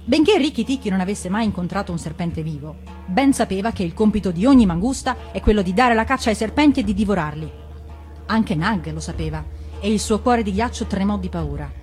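A woman reading a fable for radio in an expressive, deliberate, dramatic storytelling manner. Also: medium pitch, soft background music. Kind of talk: expressive scripted storybook narration.

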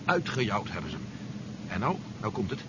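An actor playing an earnest, pressing army general on the run in a 1970s radio drama. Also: male voice, fairly low pitch, sing-song, brisk. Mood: earnest, explaining. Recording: dull, noisy old radio recording.

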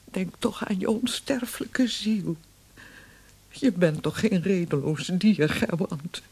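A woman's voice: fairly low pitch, animated, takes it slowly, darkish tone, slightly rough, exaggerated voice. An actress playing a strict, pious middle-aged mother, solemn and pleading, in a radio drama. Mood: anguished, pleading. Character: strict, pious, grieving mother of a grown son.

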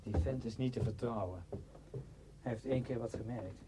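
An actor playing a cold, self-absorbed man with a secret in a Dutch radio play, speaking huskily and deliberately. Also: medium pitch, very noisy, close to an everyday voice. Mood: curt, secretive. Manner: deliberate, halting when confessing. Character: cruel, self-absorbed male conductor with a secret, confiding.

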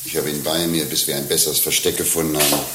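A man's voice: very deep voice, little pitch movement, quick pace. A male actor playing a coarse, sardonic rogue in a satirical radio play, talking in a blunt, mocking, colloquial way. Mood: conspiratorial. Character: coarse, sardonic male rogue, grumbling about his lovesick friend. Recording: roomy radio play sound, faint hiss.

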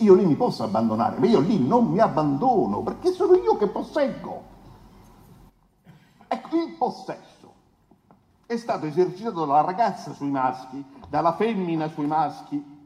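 An actor playing a pompous, insinuating defence lawyer who declaims to the court with rhetorical pauses and questions. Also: male voice, a little high, big pitch swings, darkish tone. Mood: insinuating, emphatic. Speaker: stage actor. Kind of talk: theatrical courtroom oratory. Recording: echoey hall with long reverb.

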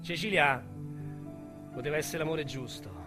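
An actor with a Roman accent playing a small-time male crook who confides his past in a radio drama, speaking in a wistful, halting way. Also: on the high side, expressive, over music, close to an everyday voice. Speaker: narrator-protagonist.

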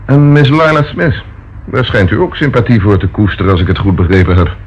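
A man's voice: lowish voice, brisk, slightly rough. A man acting a persistent, courteous police inspector in a Dutch radio play, questioning formally and politely. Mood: pressing. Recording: dull, faintly noisy old radio recording.

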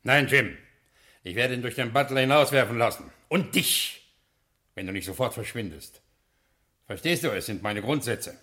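An actor playing a retired gangster boss in a 1950s radio crime play, stiff and principled. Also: male voice, mid-range voice, lively intonation, normal speed, pauses often, bright, forceful tone. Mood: firm. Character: retired male gangster boss turned gentleman.

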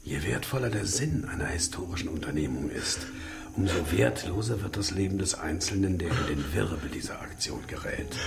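An actor playing a gaunt, fanatical East German officer in a radio crime drama, mockingly courteous and given to grandiose lecturing. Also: male voice, low voice, animated, moderate pace, gravelly. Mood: grandiose, menacing.

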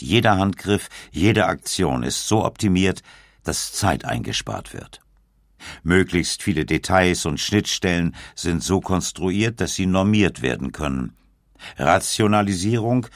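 Deep, gravelly male documentary narrator. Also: dry recording, studio quality.

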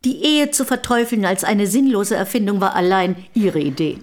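An actress in a German radio play playing the proud, composed wife of a famous poet, formal and measured. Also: female voice, medium pitch, darkish tone, close to an everyday voice. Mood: matter-of-fact. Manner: measured, formal, curt and dignified.

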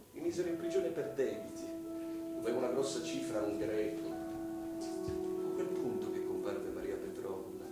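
An actor playing a scheming, lecherous middle-aged landowner in a radio drama, speaking in a theatrical, insinuating way with pauses and hushed words. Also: male voice, mid-range voice, lightly acted. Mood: nostalgic, bitter. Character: scheming, lecherous widowed male landowner, insinuating and self-justifying. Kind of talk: Italian radio drama acting. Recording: very echoey, distant voice over music.

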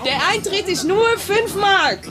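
Eine Schauspielerin spielt in einem satirischen Hörspiel eine eifrige Gästeführerin mit überschwänglichem Verkaufston. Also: female voice, very high-pitched, animated, unhurried, a crowd in the background. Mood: eager selling. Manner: effusive sales patter. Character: gushing, eager tour hostess at imperial residence. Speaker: tour hostess. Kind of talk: satirical German radio play.

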